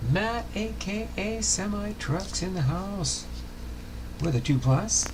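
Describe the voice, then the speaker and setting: An older man hosting a casual livestream, talking in a folksy, rambling, unhurried way with a gravelly voice. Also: high voice, animated, noisy background. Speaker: livestream host.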